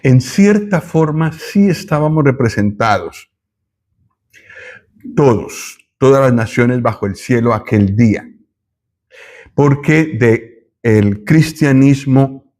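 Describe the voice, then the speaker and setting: Slow, unhurried teacher man. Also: mid-range voice, darkish tone. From Colombia. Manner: slow, thoughtful, with pauses and self-corrections. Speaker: Bible teacher. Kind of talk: Bible teaching talk.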